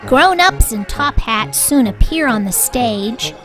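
A woman reading a children's story aloud in an expressive, rhyming sing-song way, with deliberate delivery. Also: fairly high pitch. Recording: music bed under the voice.

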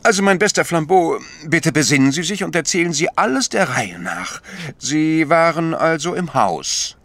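An actor playing a polite, thoughtful priest-detective who asks orderly, gentle questions in a radio play. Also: male voice, on the high side, very animated, moderate pace, no echo. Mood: courteous, thoughtful. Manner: courteous, orderly, gently inquisitive.

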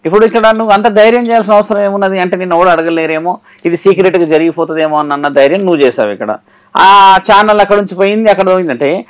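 A man's voice: high voice, very fast, clear, resonant tone. A man speaking as a guest guru, giving direct, didactic and admonishing advice in an interview.

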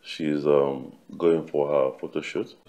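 A man's voice: very deep voice, steady tone, slow pace, very noisy, exaggerated voice. A man with a Nigerian accent acting an aggrieved, indignant husband in a screen drama, emphatic and given to repeating himself. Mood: sullen, low.

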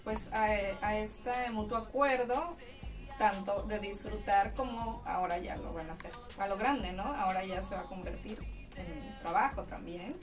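A woman's voice: mid-range voice, moderate pace. A woman with a Mexican accent, chatting casually as an interview co-host. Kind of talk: casual chat. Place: small indoor lounge room in a club. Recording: distant mic, clear music behind.

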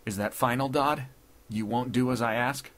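A man narrating a story plays an older judge, proud and scheming. His speech is formal, deliberate and earnest, with a grave, desperate edge to it. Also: medium pitch, fairly even intonation, fairly bright tone, pitched above the performer's own voice, lightly acted. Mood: pleading.

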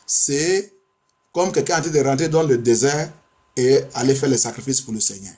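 A man's voice: medium pitch, moderate pace, a little mellow. A male pastor preaching and praying in two languages, declamatory, insistent and repetitive. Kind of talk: bilingual sermon and fervent prayer.

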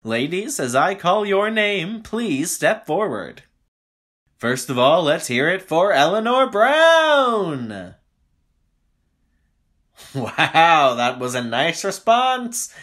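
An actress playing an upbeat, showy contest emcee, making formal showbiz stage announcements in an audio drama. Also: female voice, lowish voice, sing-song, slow, fairly bright tone, heavily acted. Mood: upbeat, ceremonious. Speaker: master of ceremonies.

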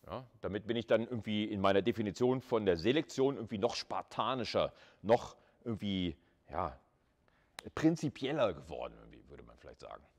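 A man's voice: fairly low pitch, sing-song, a little fast, a little mellow. A man lecturing at a university in an informal, conversational way, thinking aloud and putting questions to students.

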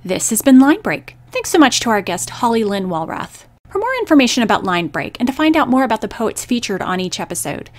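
A woman's voice: fairly high pitch, big pitch swings, a little fast, hardly any pauses, dry recording. A woman reading a scripted introduction as the host of a radio poetry program.

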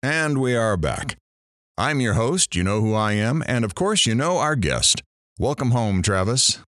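A man's voice: mid-range voice, sing-song, unhurried, fairly bright tone, no echo, studio quality. A man playing a brash, wisecracking morning radio host in an audio drama, with sarcastic, theatrical delivery. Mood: teasing, theatrical. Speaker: radio talk show host.

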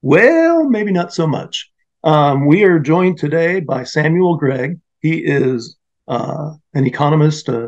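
A middle-aged man hosting an interview podcast, talking in a friendly, upbeat way from a home office. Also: on the high side. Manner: friendly, upbeat, with fillers and restarts. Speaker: podcast host. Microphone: podcast mic on a video call. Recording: slightly dull, lo-fi sound.

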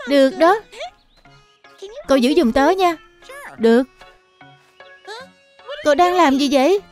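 A performer voicing an earnest, excitable young bear cub in a children's cartoon dub, with simple childlike delivery. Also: high voice, slow, soft background music. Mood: curious, helpful.

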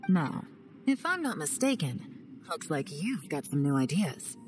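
A woman's voice: low voice, sing-song, measured pace, music in the background. A woman voicing a composed secret agent in a game, dry and confident. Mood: cool. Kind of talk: game cutscene voice acting.

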